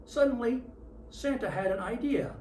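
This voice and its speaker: A reader in character as Santa Claus, reading a children's picture book aloud with expressive storytelling. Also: mid-range voice, moderate pace. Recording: roomy, distant mic with faint noise.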